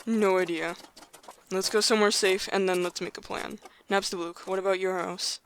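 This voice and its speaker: A man playing a calm, determined young human leader in an audio drama, speaking earnestly and reassuringly. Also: high-pitched, moderate pace, a little hiss or hum. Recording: distant, heavy hall reverb over music.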